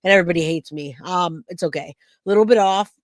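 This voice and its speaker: A man hosting a true crime livestream, hoarse and raspy from a cold, chatting casually and greeting viewers by name. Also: sits high, quick pace, no room sound, clean studio sound.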